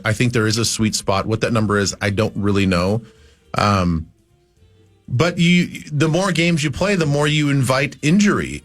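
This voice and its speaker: Man talking sports, thinking out loud. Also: medium pitch. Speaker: morning radio show host. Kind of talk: casual sports talk.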